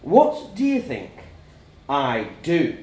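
A man voicing a gruff, blunt farmer father in a told story, booming and emphatic. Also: huge pitch swings, takes it slowly, mellow, reverberant, exaggerated voice. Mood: stern, blunt.

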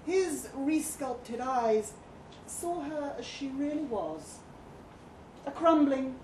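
A woman reading a short story aloud at a live event, with expressive, deliberate and theatrical narration. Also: high voice. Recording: echoey live room, background noise.

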